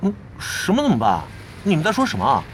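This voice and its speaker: A man's voice: fairly high pitch, huge pitch swings, fast talker, faint music behind. A man playing a naive, cheerful young gamer sidekick in an audio drama, earnest and blustering. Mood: blunt, excited.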